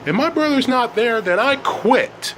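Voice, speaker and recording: A man's voice: fairly high pitch, level tone, extremely slow, a bit bright, a little hiss or hum. A man voicing an angry, defiant schoolboy kickboxer in a story, indignant and insistent, repeating his phrases. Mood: furious, defiant.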